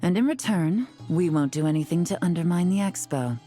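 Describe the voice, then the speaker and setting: An actress playing a cool, shrewd female intelligence agent in a video game, calm, knowing and deliberate. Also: fairly low pitch, soft background music, lightly acted. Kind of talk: video game character acting.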